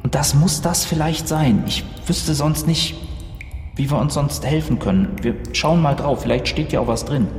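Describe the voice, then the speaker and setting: A man's voice: on the high side, normal speed, a little mellow. A man improvising casual in-character dialogue as a player in a role-play adventure. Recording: roomy, reverberant, faint background noise.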